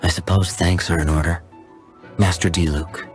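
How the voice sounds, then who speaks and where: A man voicing a wry, smooth-talking swordsman in a video game, with a teasing manner. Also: low voice, steady tone, normal speed, soft background music, lightly acted. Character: wry, smooth-talking ice-wielding swordsman, adult male.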